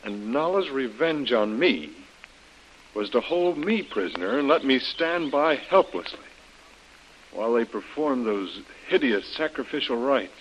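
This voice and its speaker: A man playing a troubled, devoted young planter in an old radio drama, speaking haltingly and with regret. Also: medium pitch, lively intonation, natural voice. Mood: bitter. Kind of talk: 1940s radio drama acting. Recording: muffled, noisy old radio recording.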